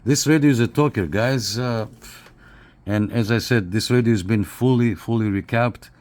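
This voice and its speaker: A man giving a casual, unscripted hands-on review, speaking haltingly and often repeating his phrases. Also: mid-range voice, darkish tone. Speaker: radio reviewer and CB operator.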